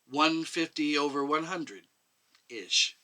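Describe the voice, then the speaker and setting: A man voicing a nervous, apologetic middle-aged woman medic, halting and defensive, in a short story reading. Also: on the high side, animated, bright, forceful tone, full character voice. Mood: hesitant, explaining.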